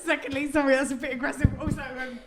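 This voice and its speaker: A woman's voice: sits high, fairly even intonation, quick pace, a crowd in the background. A woman in her thirties doing stand-up comedy, chatty and self-deprecating with apologetic asides, recorded live on a theatre stage. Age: 30s. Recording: echoey venue, heard from a distance.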